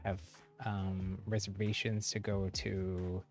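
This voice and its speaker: Gravelly-edged man chatting on a livestream. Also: deep voice, little pitch movement, slow pace, a little mellow, soft background music. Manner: rambling, frequent giggles mid-sentence. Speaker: live streamer and channel host. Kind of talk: casual livestream chat to viewers.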